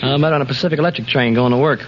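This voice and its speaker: A man's voice: medium pitch, very fast. An American man from Chicago, a bus driver, answering plainly and conversationally as a quiz contestant. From Chicago, United States. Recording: muffled, hissy 1949 radio recording.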